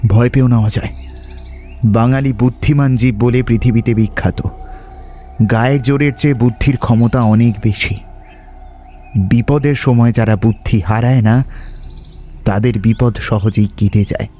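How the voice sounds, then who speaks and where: A man acts out a brave young adventurer in an audio drama. He is resolute and level-headed, with a medium-pitched voice, and speaks to steady his companion. Soft music sits underneath. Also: measured pace, long pauses, natural voice. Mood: calm, reassuring. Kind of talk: Bengali audio story acting.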